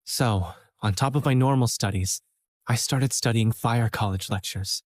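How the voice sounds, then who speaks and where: An actor playing an earnest, self-doubting young college student who narrates his own story in a scripted animated game film. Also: mid-range voice, a little slow, no echo, natural voice. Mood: reflective, downcast. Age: young adult. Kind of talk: scripted first-person narration.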